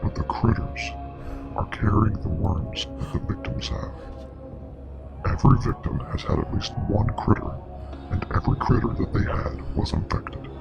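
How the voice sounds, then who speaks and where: A man playing a grave, knowing investigator and parasite expert in a scripted web horror drama, explaining in a measured, clinical way with a breathy, gravelly voice. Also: fairly low pitch, expressive, lo-fi sound. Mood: grave, explanatory. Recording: music playing behind.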